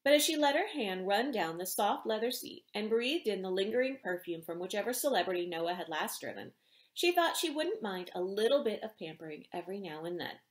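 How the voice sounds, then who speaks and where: A middle-aged woman, an author reading aloud in an expressive storytelling style in a small indoor room. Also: medium pitch, fairly quick. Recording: roomy home echo.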